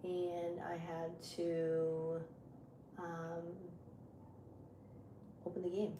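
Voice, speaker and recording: A woman live streamer with a deep voice, chatting casually with her viewers while she sets up a game. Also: completely flat delivery, drawn-out words. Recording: echoey room with loud background noise.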